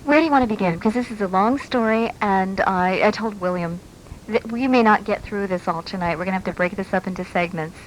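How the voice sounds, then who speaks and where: A woman's voice: medium pitch, fairly quick, a little hiss or hum, poor audio. A woman hosting a conversational radio talk show, inquisitive and reflective, taped from a shortwave broadcast.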